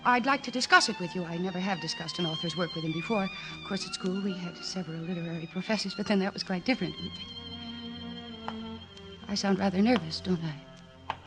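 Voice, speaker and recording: A woman's voice: medium pitch, wildly swooping pitch, fairly quick, music in the background. An actress playing an earnest, nervous but composed woman doctor in love, in a 1940s radio drama style. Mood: nervous, hesitant. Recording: restored old radio broadcast.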